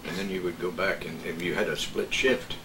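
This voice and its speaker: An older man reminisces casually, pausing and hesitating as he goes. His low, deep voice is caught at a distance, with clear background noise. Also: steady tone, echoey room. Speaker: former radio worker. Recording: noisy, distant microphone.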